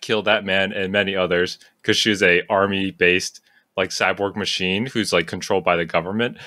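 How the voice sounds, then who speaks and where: Male podcast host, Long Island accent, playful and quick to laugh, crisp bright tone. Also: sits low, no room sound, studio quality. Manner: joking, playful, laughs often. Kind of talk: casual chat.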